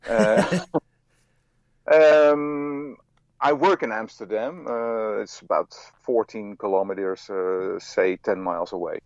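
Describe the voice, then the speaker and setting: A Dutch man speaks slowly and hesitantly, filling pauses with soft "uh"s as he answers questions. His voice sits low. The call audio is dull and lo-fi but dry. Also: no echo. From Netherlands. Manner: hesitant, many "uh" fillers, soft laughs. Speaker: guest author. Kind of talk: interview over a phone line.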